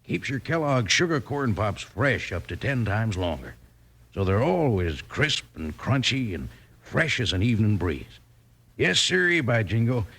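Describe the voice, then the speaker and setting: Gravelly, folksy cowboy radio pitchman. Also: a bit bright. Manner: folksy, exclamatory, salesmanlike. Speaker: radio announcer, cereal pitchman.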